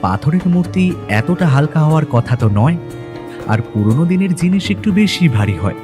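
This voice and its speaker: A man's voice: fairly high pitch, very animated, moderate pace. A man narrating a detective story in the first person, in a dramatic scripted audio-story style. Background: background music.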